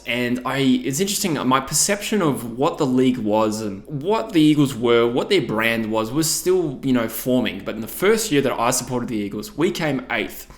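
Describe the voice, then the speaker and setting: Aussie guy in his 30s vlogging to camera, relaxed chatty ramble, lots of you knows. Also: mid-range voice. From Thailand. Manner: chatty, reflective, frequent fillers like "you know". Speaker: YouTube channel host. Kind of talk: casual vlog monologue.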